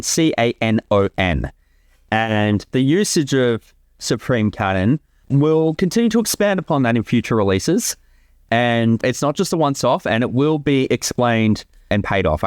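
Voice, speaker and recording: A man's voice: mid-range voice, dry recording, studio quality. A man giving casual, explanatory creator commentary, sometimes sweary, with soundtrack music behind him. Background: film soundtrack music.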